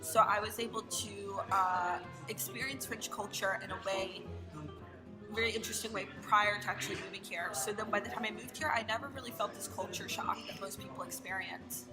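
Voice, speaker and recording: A woman's voice: medium pitch, steady tone, a little fast, music in the background. A woman from North Carolina answering interview questions in a composed, articulate way.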